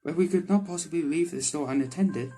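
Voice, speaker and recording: A man acts out a devoted candy girl from a visual novel. The voice is lifted high, polite and earnest. The phrasing is stiff and literal, with hafif music underneath. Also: fairly quick, warm tone, lightly acted. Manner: formal, stilted phrasing without contractions.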